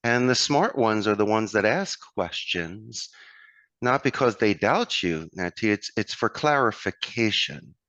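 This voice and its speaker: A man with a Philadelphia accent speaks in a very low voice, expansive and motivational. His sound is dry and a bit dull, like a remote call recording. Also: lively intonation, measured pace, fairly bright tone, no echo. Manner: expansive, motivational, addresses host by name. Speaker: call center owner, guest. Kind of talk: conversational interview.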